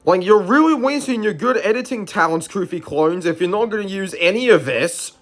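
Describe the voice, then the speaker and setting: A man's voice: sits high, expressive, a little fast, fairly bright tone, soft background music. A man hosting a video, giving casual, rambling, argumentative commentary. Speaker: YouTube host.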